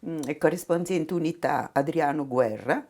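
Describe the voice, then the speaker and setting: A woman's voice: low-pitched, normal speed, darkish tone. A woman in her seventies with an Italian accent, who grew up speaking Italian, tells her memories as an interview guest, laughing often and acting out the voices of people she quotes. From Italy. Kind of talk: reminiscing conversation.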